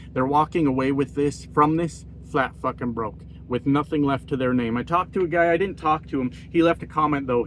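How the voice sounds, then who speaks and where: A man's voice: medium pitch, slight background noise. A man with a nasal voice, a truck driver ranting in a casual, profane and self-mocking vlog monologue. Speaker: trucker vlogger.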